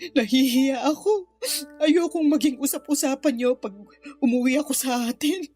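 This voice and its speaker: High-voiced weeping, remorseful mother played by an actress. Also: normal speed, a little mellow, close to an everyday voice. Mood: tearful shame. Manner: tearful, pleading, self-blaming confession. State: crying. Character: remorseful impoverished mother, a runaway aunt, ashamed and grieving. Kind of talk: radio drama acting. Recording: music bed under the voice.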